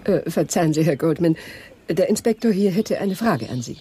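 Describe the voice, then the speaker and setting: An actress playing a genteel sanatorium owner in a German radio crime drama, polite, hesitant and evasive. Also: female voice, fairly low pitch, lively intonation, moderate pace, warm tone, close to an everyday voice. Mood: cautious, polite. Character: genteel, guarded lady owner of a private sanatorium.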